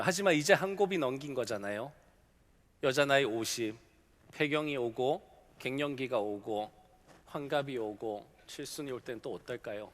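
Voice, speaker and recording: A middle-aged male pastor preaching a sermon, telling a story and dropping from loud to hushed. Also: fairly high pitch. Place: large church sanctuary. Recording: long hall reverb.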